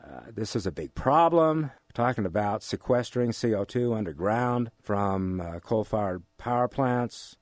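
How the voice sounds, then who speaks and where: An older man with an American accent explains things in an interview, haltingly, repeating phrases for emphasis. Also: lowish voice, animated, dry recording, studio quality. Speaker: economics instructor giving an interview.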